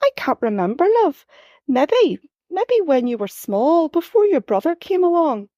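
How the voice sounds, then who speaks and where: A woman reading aloud, voicing a caring mother of two who is gentle at heart and quick to worry. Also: high voice, sing-song, no echo, studio quality, pitched above the performer's own voice. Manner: soft and gentle, then sharp when worried.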